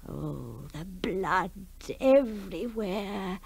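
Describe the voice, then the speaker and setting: Old granny, slow ghoulish storyteller. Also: female voice, mid-range voice, lively intonation, full character voice. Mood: ghoulish, hushed. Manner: dramatic, hushed, lingering storytelling with breathy whispers. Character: old granny telling grisly tales to grandchildren by firelight. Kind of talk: 1960s radio drama storytelling. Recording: 1960s radio broadcast recording.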